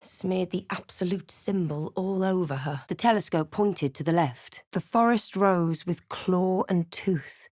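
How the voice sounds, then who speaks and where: Brooding fantasy heroine, low-toned, dry sound. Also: female voice, a little slow, hardly any pauses, a little mellow, no echo. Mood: somber. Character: female adventurer narrating her own dark fantasy journey, brooding. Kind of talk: scripted first-person game narration.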